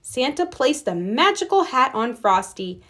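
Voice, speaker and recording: A woman narrates a children's storybook. Her voice sits high and moves around a lot, giving an expressive read-aloud delivery. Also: a little slow. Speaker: storybook reader.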